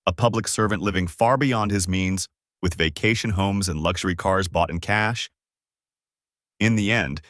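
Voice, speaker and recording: A man reading a scripted dramatic story in a measured, polished storyteller's delivery. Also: on the low side, a bit bright, no echo, clean studio sound. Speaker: narrator of an online story channel.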